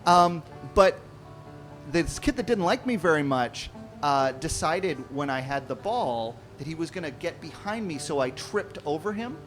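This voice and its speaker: A man's voice: on the high side, lively intonation, measured pace. A man casually telling a rambling personal story, acting out bits of dialogue, with guitar music playing behind him.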